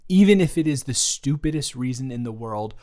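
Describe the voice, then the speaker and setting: A man's voice: medium pitch, expressive, brisk, warm tone, natural voice. A man playing an earnest, flustered ex-boyfriend in an intimate improvised audio roleplay, stammering and trailing off as he talks. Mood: nervous, reassuring.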